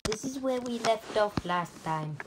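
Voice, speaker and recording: A gaming YouTuber with an Indian accent, giving deadpan, halting comedic commentary over mobile gameplay. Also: female voice, a little high, noisy background. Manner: deadpan, halting, joking persona.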